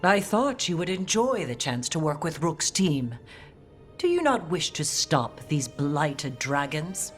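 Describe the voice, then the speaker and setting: A protective mother in a fantasy game. She speaks formally and sternly in measured phrases, over faint music. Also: low-pitched, very animated, exaggerated voice.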